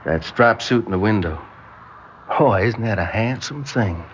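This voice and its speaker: An actor playing a smooth-talking, scheming young man in a radio drama, with folksy, drawn-out phrasing. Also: on the low side, lively intonation. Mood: flattering. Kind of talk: vintage-style radio drama acting. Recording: dull lo-fi radio recording, faint noise.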